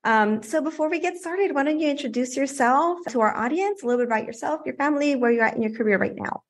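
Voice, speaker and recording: A woman's voice: high voice, lively intonation, quick pace. A woman speaking as the host of an interview podcast. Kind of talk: scripted introduction and interview.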